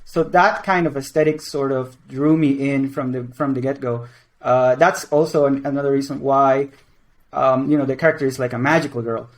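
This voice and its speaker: Young game director, man in his twenties, rambling casual interview answers, mid-pitched voice. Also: fairly even intonation, normal speed. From Venezuela and Mexico. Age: 20s. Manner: rambling, many restarts and fillers.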